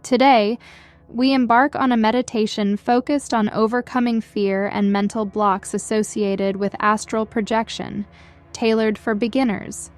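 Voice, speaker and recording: A woman reading a scripted guided meditation in a gentle, soothing, measured way, as a guide leading listeners. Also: on the high side, steady tone. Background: background music.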